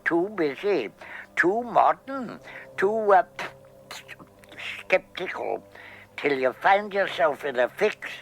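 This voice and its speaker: A man's voice: on the high side, full character voice, low-quality recording. An actor playing the peevish, disappointed ghost of an old great-grandfather, querulous and scolding, in a science fiction radio comedy. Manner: querulous, scolding, slow and quavering.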